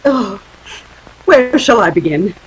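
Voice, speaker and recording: A woman's voice: mid-range voice, animated, slow pace, dull audio, noisy background. A woman playing a pleading, duplicitous femme fatale with melodramatic delivery in a detective radio play.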